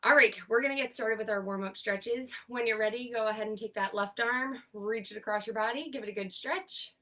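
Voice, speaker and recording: Young female therapist, congested, bright and slightly gravelly, upbeat coaching tone; mic set back from her. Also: a little high, fairly quick, distant mic. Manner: upbeat, encouraging, counts down holds. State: congested, stuffy from sinus trouble. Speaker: occupational therapist leading seated exercise class. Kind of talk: instructing an online exercise class.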